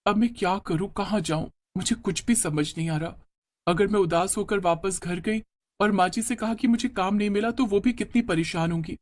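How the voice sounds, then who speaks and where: A man's voice: high voice, normal speed, lightly acted. A man voicing a worried, timid young village woman in a cartoon moral story, hesitant and earnest.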